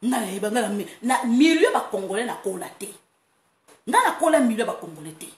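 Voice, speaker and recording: A woman from France hosting a casual online monologue, animated and quick to laugh, breaking into playful chants. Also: on the high side. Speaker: online talk host. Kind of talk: casual monologue, mixing Lingala and French. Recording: roomy echo, faint background noise.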